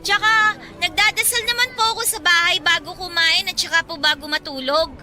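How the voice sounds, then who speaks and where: Very high, bright-voiced little girl. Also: brisk, soft background music, heavily acted. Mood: polite, hesitant. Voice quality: childlike, thin. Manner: deferential, polite, hesitant. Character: polite young church-going girl from a rural village. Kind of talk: Filipino radio drama acting.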